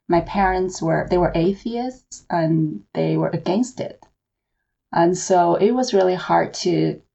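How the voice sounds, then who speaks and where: A woman from Hong Kong speaks in a low, mellow voice with a gravelly edge. She answers interview questions reflectively, at an even, thoughtful pace. The remote recording sounds dull and lo-fi. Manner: measured, reflective storytelling. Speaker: author and seminary professor.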